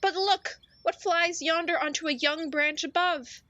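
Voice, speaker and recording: A woman's voice: very high-pitched, unhurried, sharp tone, no echo, highs cut off, full character voice. A woman playing a melodramatic, easily exasperated narrator in a radio play, grandiose and theatrical. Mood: dramatic. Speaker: narrator and host.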